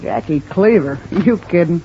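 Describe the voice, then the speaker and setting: An actress playing a worldly, chatty desk clerk at a seedy hotel in a 1950s radio drama, slangy and familiar. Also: female voice, lowish voice, lively intonation, measured pace. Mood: wary, familiar. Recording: muffled, noisy old radio recording.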